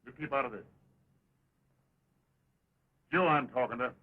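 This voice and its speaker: An actor playing a hostile, blustering American cowboy villain in western slang, in early talkie film style. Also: male voice, medium pitch, unhurried, husky. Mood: menacing. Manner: folksy cowboy slang, confrontational. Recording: tinny, band-limited 1920s film soundtrack.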